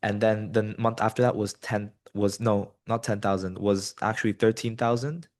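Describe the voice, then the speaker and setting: A young man in his twenties, originally from Colombia, talks through his answers in a low, easygoing voice. He pads his sentences with ums and you-knows as he thinks out loud. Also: normal speed. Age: 20s. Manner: many ums and you-knows, relaxed and rambling. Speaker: guest, business student. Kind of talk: casual interview answers.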